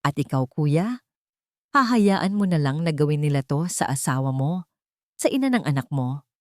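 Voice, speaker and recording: A woman's voice: low voice, very animated, normal speed, no echo, studio quality. Casting: woman as righteous adult younger brother, audio drama, urgent and resolute. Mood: indignant.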